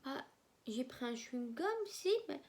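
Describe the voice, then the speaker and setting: A woman's voice: sits high, expressive, unhurried. Young woman vlogging. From German-speaking Belgium. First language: German. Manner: rambling, repeats herself, hesitant. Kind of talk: casual storytelling to camera.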